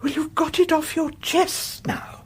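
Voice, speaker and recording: A woman's voice: sits high, fairly even intonation, a little slow. An actress playing a kindly, anxious elderly Englishwoman in a radio play, with a genteel, fussy, hesitant and sympathetic manner. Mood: sorrowful, firm. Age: older. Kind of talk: dramatic radio play acting.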